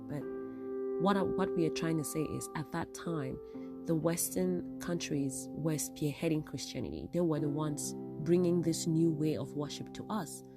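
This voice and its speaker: Low-voiced nasal woman podcaster. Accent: African English. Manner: chatty, tags lines with "right?". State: nasal from a cold. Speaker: podcast host. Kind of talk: casual solo podcast talk.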